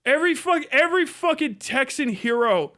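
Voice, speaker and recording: A man with an extremely high, bright voice hosts a livestream in casual, ranting style. He swears freely and tells mocking, exaggerated stories, often laughing as he talks. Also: expressive, normal speed. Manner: profane; laughs often while talking. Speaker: livestream host. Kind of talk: casual comedic rant.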